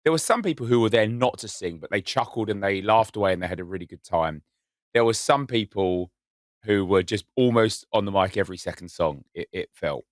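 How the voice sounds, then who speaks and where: Lively, enthusiastic man co-hosting a podcast. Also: fairly low pitch, brisk, dry recording, studio quality. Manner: enthusiastic, self-mocking storyteller. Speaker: podcast co-host. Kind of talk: casual chat.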